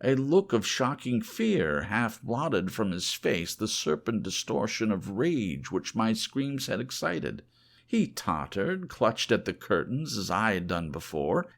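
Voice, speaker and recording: Guy narrating a horror audiobook, really slow and dramatic, pitch moves around a lot, bit low. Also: sing-song, gravelly. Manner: theatrical, deliberate delivery.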